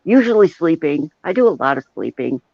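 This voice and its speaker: A middle-aged man with a notably high voice talks casually on a live show. He rambles and puts himself down as he goes, and the audio is dull and lo-fi. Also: big pitch swings, a little fast, gravelly, darkish tone. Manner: self-deprecating, rambling. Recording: dull lo-fi audio with roomy echo.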